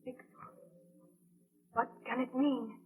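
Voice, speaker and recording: A woman playing an anxious, tender gentlewoman with an earnest, melodramatic delivery in a 1930s radio drama. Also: fairly high pitch, fairly even intonation, slow, noisy background. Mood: frightened. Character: anxious, tender young gentlewoman, scientist's beloved. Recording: tinny band-limited 1930s radio broadcast.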